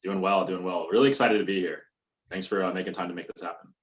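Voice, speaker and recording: Young guy w a really low bassy voice, laid back, lots of you know and um. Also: fast talker. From North Alabama, USA. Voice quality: deep bassy voice. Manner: relaxed, many fillers like "you know" and "um". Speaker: musician guest. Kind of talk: casual interview talk.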